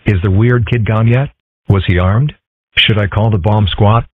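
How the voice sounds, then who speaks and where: Guy as a jumpy cartoon school principal, blunt, low husky voice, phone-like sound. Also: fairly even intonation, normal speed, no echo, lightly acted. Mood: wary. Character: anxious, overcautious adult school principal. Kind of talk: comic strip dub. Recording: muffled, phone-like narrow sound.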